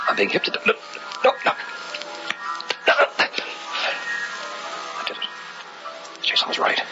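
Uneasy deep-voiced gentleman. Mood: fearful, hushed. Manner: hesitant, apprehensive, dramatic. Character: uneasy, hesitant gentleman dabbling in the occult. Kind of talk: old-time radio horror drama acting. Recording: dull old radio recording, music behind.

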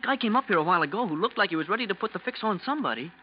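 An actor playing a chatty, nosy young elevator operator who talks in slang, in an old-time radio drama. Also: male voice, high voice, lively intonation, racing. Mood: gossipy, insinuating. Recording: muffled, noisy old radio recording.

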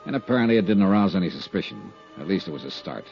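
An American actor playing a brisk, wry, hard-boiled insurance investigator in a 1950s radio detective drama. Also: male voice, deep voice, big pitch swings, gravelly, a little mellow, full character voice. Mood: brisk, confiding. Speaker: lead actor and narrator. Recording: dull vintage radio recording, faint music.

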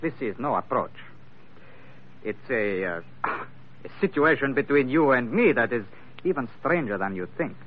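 An actor playing a suave, courteous villain with formal, hesitant politeness in an old radio drama. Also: male voice, medium pitch, lively intonation, measured pace, pauses often, lightly acted. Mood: smooth, persuasive. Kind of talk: 1940s radio acting. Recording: tinny, noisy old radio recording.

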